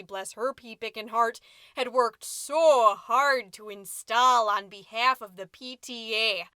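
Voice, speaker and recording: A woman's voice: sits high, pushed and bright, no room sound, clean studio sound, heavily acted. A woman playing a chirpy, self-important middle-aged suburban mom who hosts a podcast, talking in a perky, folksy, theatrical and fussy way. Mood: melodramatic. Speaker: podcast host. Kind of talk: scripted comedic monologue as a podcast.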